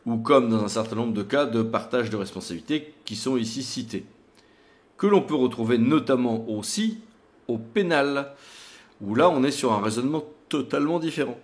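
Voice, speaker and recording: A man's voice: medium pitch, expressive, moderate pace. A man presenting a legal tutorial in a didactic, emphatic way, like a lawyer explaining case law to an audience.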